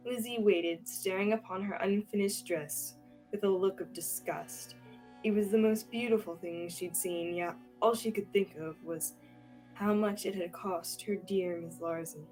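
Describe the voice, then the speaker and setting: Young woman narrator, radio play style, average pitch, bit of music behind. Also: fairly even intonation, unhurried, darkish tone, rough recording. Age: young adult. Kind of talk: scripted radio theatre narration.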